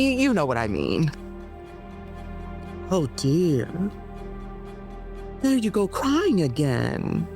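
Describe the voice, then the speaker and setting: A woman performing a spoken-word poem in the voice of a falsely soothing colonizer, condescending and full of stumbling self-corrections. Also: lowish voice.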